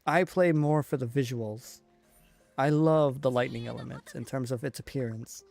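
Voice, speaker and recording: A man giving casual live commentary on a video game, rambling and halting as he thinks aloud. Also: medium pitch, fairly even intonation, vocal fry, darkish tone, soft background music. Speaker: game streamer.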